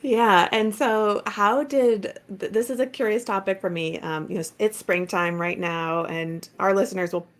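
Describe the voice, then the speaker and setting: A woman's voice: medium pitch, steady tone, normal speed, hardly any pauses. Friendly woman podcast host. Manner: friendly, conversational, scripted intro. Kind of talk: hosting a podcast interview.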